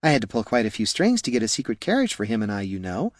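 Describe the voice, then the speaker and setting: A man's voice: fairly low pitch, wildly swooping pitch, quick pace, no room sound, studio quality. A man voicing a haughty, prim and easily scandalised noblewoman in a fantasy audiobook. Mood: prim, defensive. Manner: prim, indignant.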